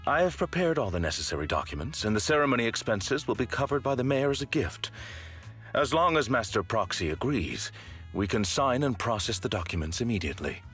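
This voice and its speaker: A man's voice: mid-range voice, big pitch swings, brisk, a bit bright, faint music behind. A man voicing a formal, composed butler figure in a game cutscene, polite and precise. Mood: measured.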